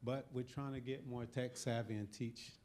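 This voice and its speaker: A man's voice: mid-range voice, fairly even intonation, normal speed, a little mellow, a little hiss or hum. An older man from Oakland, California, asking an earnest, eager question from the audience at a panel. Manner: earnest, eager, self-deprecating.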